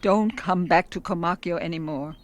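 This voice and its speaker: A woman's voice: lowish voice, normal speed, a little hiss or hum, lightly acted. A woman acting a restless, dissatisfied fisherman's wife in a radio play. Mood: cold, firm.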